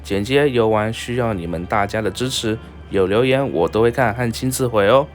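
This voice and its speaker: A man's voice: fairly low pitch, a little fast. A man narrating a scripted outro as the host of a gaming video channel. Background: music.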